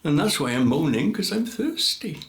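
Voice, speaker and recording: A man's voice: fairly high pitch, big pitch swings, moderate pace, echoey room, voice pushed up. A man voicing an ancient talking willow tree in a folk tale, thirsty, moaning and plaintive. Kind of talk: storybook folk tale character voice.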